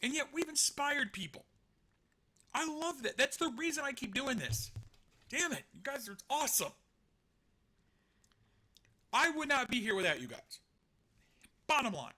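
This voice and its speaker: A man's voice: extremely high pitch, sing-song, a little slow, long pauses, sharp tone. A man hosting a live stream, chatting casually and rambling, joking at his own expense, laughing and swearing now and then. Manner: rambling, self-deprecating, laughs often, swears. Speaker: online show host.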